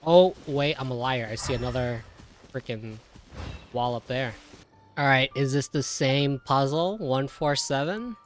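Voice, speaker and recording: A man's voice: medium pitch, measured pace. Casual young male gamer with background noise. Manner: casual, slangy, reactive. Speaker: video game streamer. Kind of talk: live playthrough reaction commentary.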